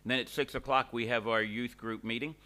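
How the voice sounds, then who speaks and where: Middle-aged pastor guy at the lectern, bright forward voice, chatty with lots of uhs. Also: mid-range voice, brisk. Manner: relaxed and conversational, with frequent "uh" and restarts. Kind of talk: leading a church service, prayer and announcements. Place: small church hall, at a lectern. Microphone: lectern microphone.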